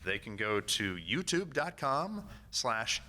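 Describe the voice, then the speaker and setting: A man giving an informal panel presentation as a producer, explaining in a halting way and repeating words. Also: mid-range voice, sing-song, pushed and bright, a little hiss or hum. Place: convention panel.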